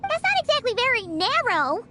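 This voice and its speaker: A woman voicing a small, eager, whiny fairy companion in a video game, with a squeaky childlike voice. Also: very animated, fairly quick, bright, forceful tone, faint music behind, exaggerated voice. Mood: excitable.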